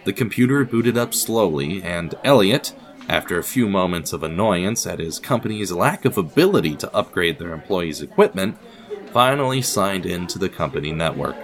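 A man narrating a scripted audio drama in a measured, theatrical storytelling manner with deliberate pauses. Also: deep voice, animated.

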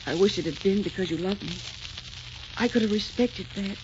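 A woman's voice: mid-range voice, moderate pace, close to an everyday voice. A woman acting a proud, lovelorn young captive in a 1940s radio melodrama, earnest and theatrical in delivery. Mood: wistful. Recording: dull, hissy 1940s radio recording.

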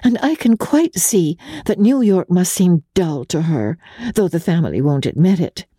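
A woman voicing an earnest, gentle young society fiancee in a dramatic audiobook reading, with hesitant, wistful enthusiasm. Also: lowish voice, lively intonation, moderate pace, slightly rough, no room sound, studio quality.

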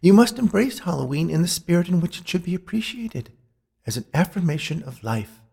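A man voicing an older female ghost in a storybook, soft and airy, solemn and admonishing. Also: high-pitched, very animated, brisk, vocal fry, husky, pitched above the performer's own voice, exaggerated voice. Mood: solemn warning. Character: older female ghost, soothing, earnest, exasperated.

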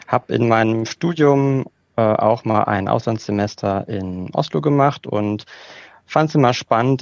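A man from Berlin, guest on a podcast, explaining his career in a measured way with filler sounds. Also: on the low side, gravelly, a little mellow, no echo. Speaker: guest, organizational developer. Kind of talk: casual interview. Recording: dull lo-fi dry sound.

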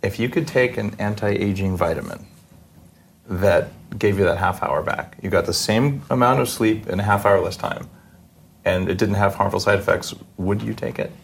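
A man's voice: on the low side, normal speed, gravelly, slight background noise. A man hosting a podcast interview in a casual, informal conversational way.

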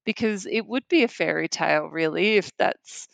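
A woman with a slightly low voice chats as co-host of a fan podcast. Her manner is gushing and appreciative. The recording is very dry, with no room sound. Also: moderate pace, creaky, no room sound. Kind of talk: casual fan podcast chat.

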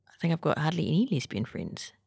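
A woman host in easy conversation, her low-set voice swooping up and down as she teases and laughs. The sound is close and dry, with no room around it. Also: very animated, fast talker, vocal fry, darkish tone, breathy edge, no room sound. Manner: playful, laughs often. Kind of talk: casual chat.

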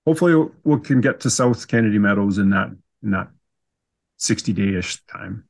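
A man's voice: lowish voice, lively intonation, normal speed, gravelly, darkish tone. A man with a Canadian accent telling stories casually as an interview guest, in an easygoing, self-deprecating way. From Canada, southern Saskatchewan. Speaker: podcast guest.

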